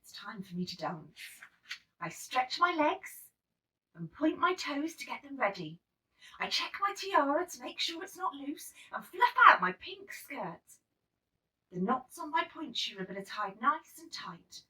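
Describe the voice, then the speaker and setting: Bright, very animated woman reading a story. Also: sits high, a little slow. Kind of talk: expressive read-aloud of a children's picture book. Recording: slightly distant microphone.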